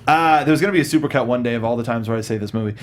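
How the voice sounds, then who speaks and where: A man's voice: medium pitch, rapid-fire, slight background noise. A man hosting a casual movie panel, informal as he guides the discussion. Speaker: panel show host.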